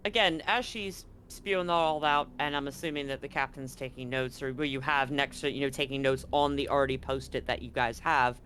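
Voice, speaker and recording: A man acting as game master, narrating a tabletop roleplaying game in a deliberate, halting way. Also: mid-range voice, fairly even intonation, sharp tone, a little hiss or hum.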